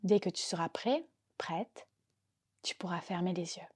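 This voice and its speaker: A woman gently and calmly guiding a relaxation meditation as a yoga nidra teacher, unhurried and soothing in her delivery. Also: on the low side, husky. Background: soft background music.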